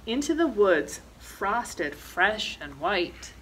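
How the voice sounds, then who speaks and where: A woman's voice: medium pitch, big pitch swings, extremely slow, noisy background. A middle-aged woman reading a children's picture book aloud expressively, with dramatic emphasis on action words. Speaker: librarian reading storytime. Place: indoor library reading corner, seated.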